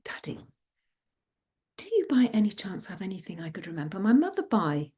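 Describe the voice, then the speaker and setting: A woman's voice: medium pitch, very animated, fairly quick, dark tone, lightly acted. A woman voicing a sweet, eager young goddess daughter in oral myth storytelling, with a coaxing, hesitant, girlish manner and sly determination.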